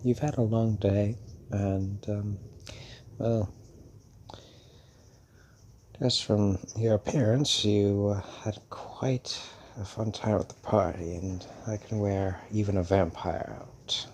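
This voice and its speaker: An actor playing a centuries-old, fatherly male vampire in an intimate audio roleplay, speaking haltingly and musingly with hums and long pauses. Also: lowish voice, fairly even intonation, a little mellow, a little hiss or hum. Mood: calm, caring.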